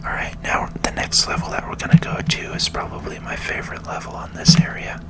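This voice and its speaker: A person whispering ASMR commentary while playing a video game, in a gentle, unhurried manner. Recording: music under the voice. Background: game music.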